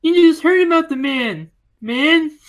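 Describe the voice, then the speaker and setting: A man voicing a nervous, childish fat glutton with a loud, whiny, anxious manner in a comic story. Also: very high voice, lively intonation, a little slow, pitched above the performer's own voice. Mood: alarmed.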